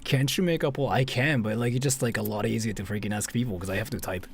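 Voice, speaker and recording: A man's voice: on the low side, quick pace, gravelly, slight background noise. A man from Japan chatting casually on a livestream and reacting with sarcastic, repetitive jokes. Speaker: livestreamer reacting to a video and chat.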